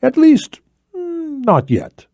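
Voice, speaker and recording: A man acts out an older Spanish ex-intelligence officer in a thriller audiobook. He is shrewd, commanding and formal, with deliberate, emphatic phrasing that swings widely in pitch. The sound is close and dry. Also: wildly swooping pitch, warm tone, dry recording, heavily acted. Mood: firm, controlled. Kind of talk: acted audiobook dialogue.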